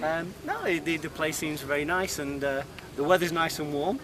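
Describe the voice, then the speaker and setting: A man's voice: on the high side, expressive, normal speed. A British man from the UK answering questions in a casual street interview, friendly and chatty with many fillers and restarts. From United Kingdom. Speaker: tourist interviewee. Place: outdoors at a busy tourist site. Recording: distant mic with crowd noise. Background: crowd chatter.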